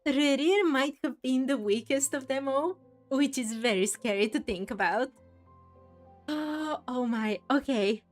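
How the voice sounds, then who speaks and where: A woman's voice: high-pitched, a little slow, faint music behind. A woman hosting a casual game playthrough, chatting and reacting expressively as she goes. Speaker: gaming video host.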